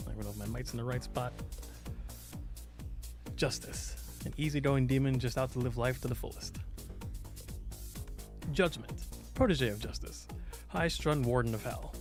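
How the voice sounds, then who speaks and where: A man's voice: medium pitch, moderate pace, pauses often, over music. A man streaming a game live, chatting casually and reading out game text in a flustered, apologetic, self-deprecating way.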